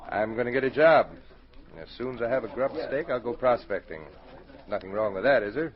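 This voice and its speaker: An actor playing a sly, breezy ex-convict in a 1940s radio drama, with a wry, casual way of talking. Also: male voice, medium pitch, fairly quick, close to an everyday voice. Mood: wry, defensive. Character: sly, breezy male ex-convict turned would-be prospector. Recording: muffled 1940s radio recording.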